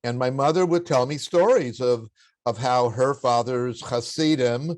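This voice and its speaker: An older man with a baritone voice, a guest in an interview, telling family stories in a reflective, anecdotal way with occasional chuckles. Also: unhurried, dry recording. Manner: warm anecdotal storytelling with chuckles. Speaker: interview guest, spiritual teacher.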